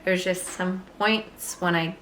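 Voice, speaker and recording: Rambly woman vlogger, kinda hoarse still, lowish voice, echoey room with a little hiss. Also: steady tone, takes it slowly, fairly bright tone. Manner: chatty and rambling, with frequent fillers and light laughs. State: slightly hoarse, voice still recovering. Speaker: knitting vlogger. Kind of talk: casual chat to camera.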